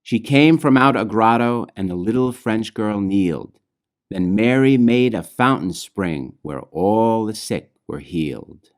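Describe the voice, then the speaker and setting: A man reads rhyming children's storybook verse as its author, in a measured, expressive way with phrase endings trailing softly. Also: on the low side. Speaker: author reading own children's book. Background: music.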